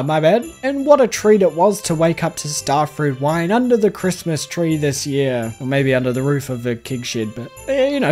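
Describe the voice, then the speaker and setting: A man with a Kiwi accent narrates his farming game progress in a scripted, close-mic voice-over. His pitch swings freely as he drops jokey asides. Faint music sits low behind him. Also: darkish tone. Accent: New Zealand. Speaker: gaming YouTuber.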